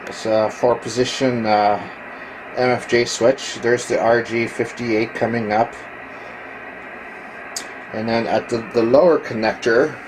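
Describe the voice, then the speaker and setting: Halting man over clear background noise. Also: medium pitch. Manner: matter-of-fact, halting, technical explaining. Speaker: ham radio hobbyist showing his equipment. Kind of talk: casual hands-on video walkthrough. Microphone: handheld camera microphone. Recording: clearly noisy handheld camera recording.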